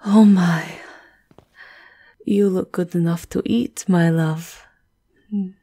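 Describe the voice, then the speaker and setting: A woman's voice: fairly low pitch, steady tone, slow pace, mellow. A woman voicing a seductive, possessive vampire countess in an intimate, aristocratic manner for an ASMR roleplay. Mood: doting, imperious.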